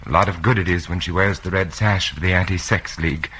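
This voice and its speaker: Nervous stammering party clerk, lowish bright voice, hissy old radio drama. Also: male voice, steady tone, fast. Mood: anxious, irritable. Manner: anxious, hesitant, stammering. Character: nervous male party clerk under a totalitarian regime. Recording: noisy old radio recording.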